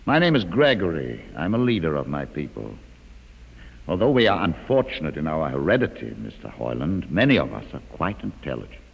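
An actor playing a calm, educated male mutant leader in a 1950s radio drama, measured and quietly ironic. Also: rumbling low, creaky, slightly rough, exaggerated voice. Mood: calm, wry. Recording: old radio drama with long reverb.

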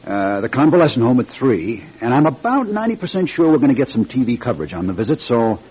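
A man's voice: mid-range voice, very animated, fast, darkish tone, breathy edge. An actor playing a practical, casual male campaign manager in a radio drama, speaking in a folksy way. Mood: confident, casual. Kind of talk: naturalistic radio drama acting. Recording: dull old radio recording.

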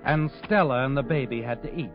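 An actor playing a sardonic, all-knowing narrator in a 1940s radio crime drama, dramatic, deliberate and needling. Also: male voice, medium pitch, music in the background, natural voice. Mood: knowing, ominous. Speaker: host and narrator. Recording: tinny narrow-band old radio recording, musical backing.

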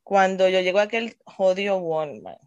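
Puerto Rican woman telling lively stories. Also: mid-range voice, normal speed, no echo, dull audio. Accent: Puerto Rican Spanish. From Puerto Rico. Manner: animated storyteller, mixes English words. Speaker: teacher. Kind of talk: casual chat.

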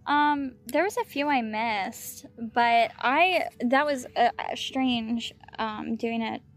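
A woman's voice: fairly high pitch, slow pace. An American woman talking casually outdoors as she hosts a ghost hunt. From United States. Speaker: paranormal investigator and host. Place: outdoors in a park.

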